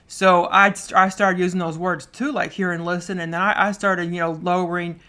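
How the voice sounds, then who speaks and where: A woman hosts a solo podcast in a loose, chatty way. Her voice is loud and her talk runs fast, so she often trips over her words and repeats them before she goes on. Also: on the low side, level tone. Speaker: podcast host.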